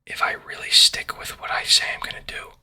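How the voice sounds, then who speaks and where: A man making ASMR in a breathy whisper, rambling casually to his viewers and often repeating short phrases over and over. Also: brisk, pushed and bright. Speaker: ASMR video creator.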